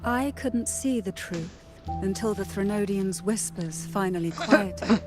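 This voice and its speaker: A woman as an ageless game matriarch, solemn, slow measured delivery, grave, mid pitch, music underneath. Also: natural voice. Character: solemn century-old matriarch, keeper of ancient lore. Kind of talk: dramatic video game voice acting.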